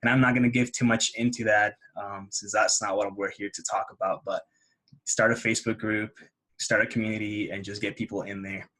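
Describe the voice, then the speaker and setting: A man's voice: lowish voice, flat delivery, fairly quick, bright, forceful tone, low-quality recording. A man hosting a casual podcast interview, talking with enthusiasm and giving advice. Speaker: podcast host.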